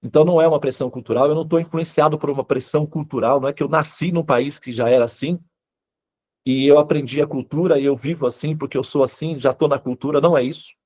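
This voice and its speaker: A man's voice: a little high, fairly even intonation, fast talker, a little mellow. A Brazilian man speaking Brazilian Portuguese as a spiritual teacher, explaining in an informal lecture style and often checking in with short tag questions.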